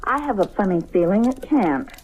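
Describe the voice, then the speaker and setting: An actress playing an uneasy, observant wife in an old radio mystery, halting and hushed in a suspenseful way. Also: female voice, mid-range voice, lively intonation, close to an everyday voice, low-quality recording. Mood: uneasy, insistent. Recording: old radio recording with faint noise.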